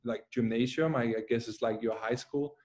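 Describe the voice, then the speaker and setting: A young man from Denmark with a Danish accent, a footballer answering interview questions calmly over a video call, pausing often. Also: medium pitch. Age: young adult. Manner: calm, measured, with many "uh" pauses. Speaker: guest, professional footballer. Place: plain room at home.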